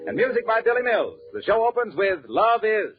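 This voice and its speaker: Male vintage radio pitchman: polished, emphatic, lively swings in pitch, dull 1940s broadcast sound. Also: a little fast. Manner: polished, emphatic sales delivery. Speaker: radio announcer and sponsor pitchman. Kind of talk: 1940s radio announcing, scripted ad read.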